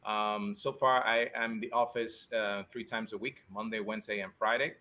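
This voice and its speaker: A man from Spain, a urologist guest answering interview questions conversationally, somewhat halting and repeating words. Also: on the low side, fairly even intonation, pushed and bright. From born in Spain, Puerto Rican and Spanish family. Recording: faint background noise.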